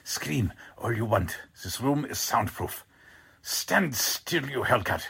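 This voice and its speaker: Man acting a husky, menacing Nazi spy commander. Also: mid-range voice, big pitch swings, sharp tone, full character voice. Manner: deliberate, commanding. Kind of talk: 1940s radio drama acting.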